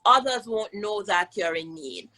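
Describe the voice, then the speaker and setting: A woman's voice: medium pitch, animated, a little slow, pushed and bright, rough recording. A woman with a Jamaican accent hosting a children's lesson, speaking in an encouraging, emphatic way and prompting her listeners. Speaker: host of children's virtual storytime, teacher. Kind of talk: live interactive lesson for children.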